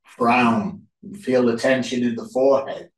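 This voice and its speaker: Male yoga instructor, calm guided relaxation, very slow delivery with pauses, distant and reverberant room sound. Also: mid-range voice. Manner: calm, unhurried, short phrases with pauses. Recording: roomy echo, distant microphone.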